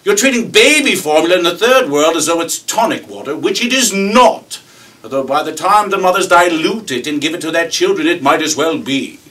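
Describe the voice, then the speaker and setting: Man putting on a shrill, indignant young British man. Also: big pitch swings, fast, hardly any pauses. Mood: outraged, shouting. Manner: sputtering, emphatic. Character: indignant young British employee, shouting moral accusations. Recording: distant mic with faint room noise.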